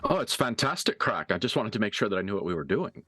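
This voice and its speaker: A man's voice: on the low side, very animated, fast, pushed and bright, no echo, faint music behind, voice pushed up, heavily acted. A man playing a deferential, blunt enforcer who loves a fight in a tabletop game. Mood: blunt, eager. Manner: blunt, obedient, says sir and ma'am.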